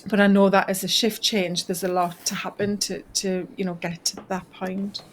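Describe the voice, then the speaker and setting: A woman's voice: medium pitch, flat delivery, normal speed. Northeast english woman on a video call, earnest and thoughtful, lots of "you know", faint hum behind. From northeast England. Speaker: health coach guest. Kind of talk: casual video call interview. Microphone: video call mic.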